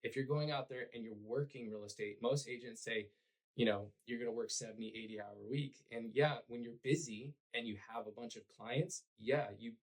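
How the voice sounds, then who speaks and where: A man, hoarse and raspy from cheering, talking earnestly about his work as a podcast guest with lots of fillers. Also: medium pitch, fairly even intonation, moderate pace, low-quality recording. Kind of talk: conversational podcast interview.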